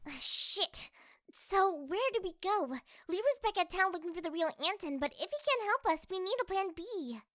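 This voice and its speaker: A blunt young adventurer girl from a fantasy audiobook, acted by a woman. Her voice is extremely high and swings widely in pitch, in a dry recording. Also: lively intonation, normal speed, a bit bright, dry recording. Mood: frustrated, urgent. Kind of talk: audiobook character acting.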